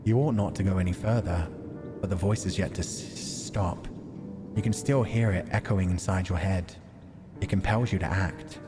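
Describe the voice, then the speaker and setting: A man's voice: deep voice, moderate pace, music in the background. A man hosting a game stream, reading visual novel narration aloud and chatting casually about it. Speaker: livestream host playing a visual novel.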